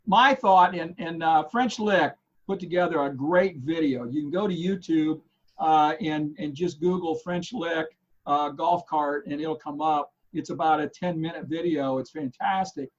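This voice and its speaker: A man co-hosting a golf news show in casual chat, hoarse and losing his voice, rambling with many fillers and restarts. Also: on the high side, a little slow, muffled recording.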